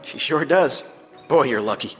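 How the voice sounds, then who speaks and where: An actor playing an eager, teasing teenage teammate in a true-crime podcast dramatization. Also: male voice, medium pitch, expressive, measured pace, long reverb tail, soft background music, close to an everyday voice.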